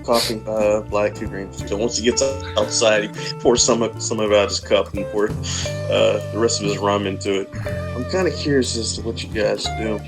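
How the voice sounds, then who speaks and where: Halting low-voiced man in a tabletop game. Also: fairly even intonation. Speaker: tabletop role-playing player. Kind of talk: casual in-character game talk. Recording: clear guitar music, online group call.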